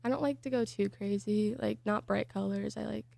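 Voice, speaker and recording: A young woman gives shy, short answers about her design work and trails off with fillers. Her voice is heavily gravelly with vocal fry. The sound is close and dry. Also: mid-range voice, normal speed, darkish tone, no echo. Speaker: graphic design student. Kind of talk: interview answers.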